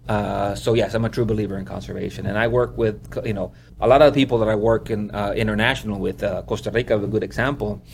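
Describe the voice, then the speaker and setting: Man from Honduras, wildlife photographer guest, low-ish voice, rambling chatty storyteller with lots of "you know", faint background noise. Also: fairly even intonation, fast, vocal fry, hardly any pauses, a little mellow. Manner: rambling, leans on "you know", laughs often while telling stories. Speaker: wildlife photographer being interviewed. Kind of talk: casual interview storytelling.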